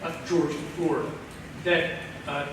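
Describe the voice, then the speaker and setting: A man, an economics professor, explaining research on a panel in a measured lecturing way. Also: a little high, little pitch movement. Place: large echoey event hall. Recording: echoey hall from far off, noisy.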